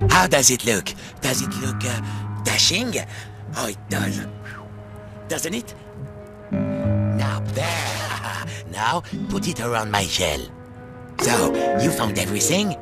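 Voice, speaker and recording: A man's voice: very animated, slow, long pauses, loud music behind the voice. Man doing a rude blustering hermit crab. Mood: vain. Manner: cheeky, blustering, swings high and low.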